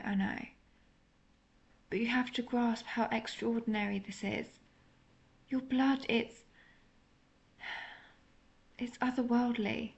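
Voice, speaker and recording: Slow, creaky-voiced remorseful vampire woman, acted. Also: fairly high pitch, muffled recording. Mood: craving, awed. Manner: hesitant, confessional, softly melancholic. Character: lovesick apologetic female vampire, immortal, intense, vulnerable. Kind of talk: intimate audio roleplay monologue.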